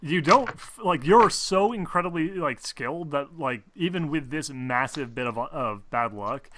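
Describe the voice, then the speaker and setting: A man acting as game master, narrating a tabletop game in an informal, excitable, improvised way. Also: fairly high pitch, unhurried, a little hiss or hum. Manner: informal, excitable, swears freely.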